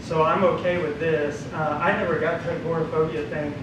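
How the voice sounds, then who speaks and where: A man's voice: fairly high pitch, little pitch movement, a little fast. A married man giving candid, rambling feedback in a group discussion, recorded from across an echoey room. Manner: rambling, candid, many restarts. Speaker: audience member giving feedback. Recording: echoey room from a distance with noise.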